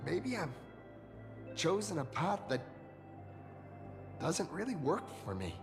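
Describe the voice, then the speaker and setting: Guy doing a shy earnest kid hero for a game, high n slow, echoey w music. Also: very animated. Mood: downcast, self-doubting. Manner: earnest, hesitant. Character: earnest, insecure young would-be hero, starstruck fan. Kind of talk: video game voice acting. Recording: long reverb, music behind.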